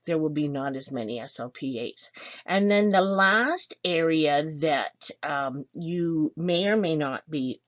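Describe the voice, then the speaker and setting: A woman's voice: deep voice, dry recording, dull audio. A woman presenting a program information session, explaining things step by step with fillers and restarts. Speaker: program instructor.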